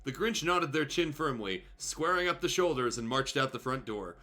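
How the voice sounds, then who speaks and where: A man's voice: medium pitch, expressive, normal speed, bright, forceful tone. A man hosting a livestream, giving a theatrical comedic reading of fan fiction with irreverent, sweary asides. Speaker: guest host reading fan fiction aloud on a livestream show. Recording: music playing behind the voice. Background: music.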